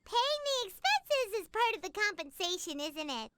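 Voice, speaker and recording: A woman voicing a tiny childlike fairy companion in a video game, squeaky, cheeky and nosy. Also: big pitch swings, moderate pace, dry recording, full character voice. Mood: cheeky, knowing. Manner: talks about self in third person. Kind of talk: English video game voice acting. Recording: music loud behind the voice.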